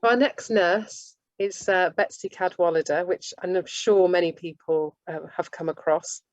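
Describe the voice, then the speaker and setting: A woman giving an informal lecture, halting and often restarting her sentences. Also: fairly low pitch, no echo. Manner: halting, with many restarts and self-corrections. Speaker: speaker giving a talk on nursing history. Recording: dull lo-fi dry recording.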